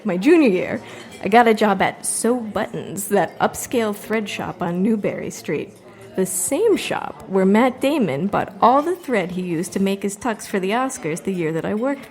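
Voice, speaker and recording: A woman's voice: medium pitch, lightly acted. A woman playing a young adult performer giving a chatty, self-deprecating open mic monologue. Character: wry young woman doing a stage monologue.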